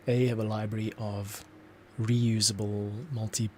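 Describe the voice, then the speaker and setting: A man's voice: fairly low pitch, level tone, unhurried, dark tone, a little hiss or hum. A man, a composer, talking through his scoring process as he works, thinking aloud. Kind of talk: thinking aloud tutorial commentary.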